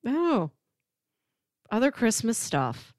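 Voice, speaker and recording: A woman with a fairly high voice talks casually as a film podcast co-host. She sounds enthusiastic and often chuckles as she speaks. The sound is close and dry, with little room around her. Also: very animated, slow pace, a little mellow, no room sound. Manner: enthusiastic, chuckles while talking.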